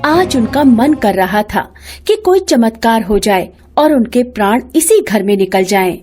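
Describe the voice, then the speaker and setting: Woman narrator, high voice, slow measured pace, scripted storytelling, clear music bed. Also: lively intonation, darkish tone.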